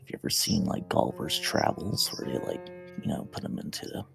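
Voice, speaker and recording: A man's voice: extremely low pitch, very animated, a little fast, creaky, over music. A man from the United States talking loosely in a casual group chat, with lots of filler words. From USA. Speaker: guest.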